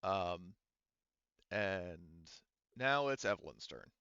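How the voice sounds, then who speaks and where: Low-voiced man running a tabletop game. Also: lively intonation, takes it slowly, a bit bright, clear, resonant tone, no room sound, lo-fi sound. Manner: informal, mildly profane. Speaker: game master. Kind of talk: casual game mastering, narrating and explaining rules.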